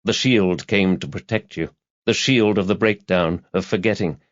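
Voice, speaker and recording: A man's voice: low voice, moderate pace, dry recording. A man narrating a crime thriller audiobook in a scripted, dramatic storytelling style. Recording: slightly dull, lo-fi audio.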